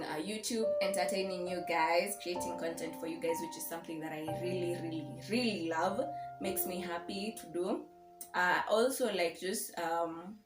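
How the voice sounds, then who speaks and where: A young adult woman with a Kenyan accent chatting casually and informally in a personal vlog. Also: fairly low pitch. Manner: informal, code-switches into Swahili. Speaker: YouTube vlogger. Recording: roomy echo, music behind.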